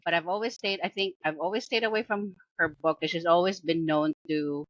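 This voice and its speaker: A woman's voice: fairly low pitch, a little fast, dry recording. Chatty Filipino-accented woman, lo-fi. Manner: chatty, full of fillers and restarts, laughs often. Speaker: book podcast co-host. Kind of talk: casual book review chat. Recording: dull lo-fi dry sound.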